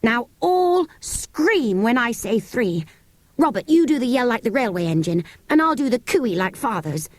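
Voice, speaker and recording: A woman voicing a bold, bossy young schoolboy in an audiobook, giving slow, deliberate, emphatic commands. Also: a little high, big pitch swings, no room sound, pitched above the performer's own voice. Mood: urgent, bossy.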